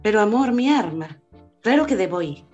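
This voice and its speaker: A woman's voice: medium pitch, animated, normal speed, a little mellow, dull audio, faint music behind, close to an everyday voice. A woman playing a breezy, cheeky married lady of leisure in a radio soap opera. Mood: airy, insistent.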